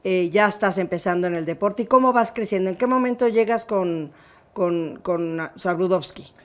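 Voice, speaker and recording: A woman's voice: medium pitch, moderate pace. Woman, Mexican accent, interview host, mid-range voice, encouraging. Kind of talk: casual interview chat.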